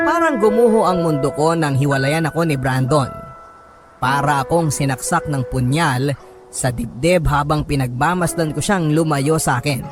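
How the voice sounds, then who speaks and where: A man's voice: fairly high pitch, normal speed. A man with a Filipino accent reading a listener's letter as a radio host, in dramatic first-person narration. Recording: music bed under narration.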